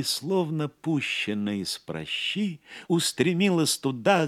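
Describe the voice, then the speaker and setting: A man narrating a legend as a scripted audio play, in a measured, literary and theatrical manner. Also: medium pitch.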